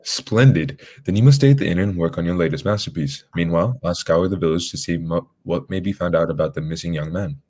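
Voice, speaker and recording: A man playing a composed, courteous gentleman detective in a radio drama, with formal, polite wording. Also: low voice, a little fast, hardly any pauses. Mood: brisk, thoughtful.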